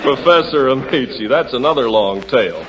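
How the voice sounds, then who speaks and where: A man's voice: mid-range voice, animated, moderate pace. A man in folksy, emphatic 1940s radio style, announcing and trading patter on an old broadcast. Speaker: radio announcer and comic performer. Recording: muffled 1940s radio recording, noisy.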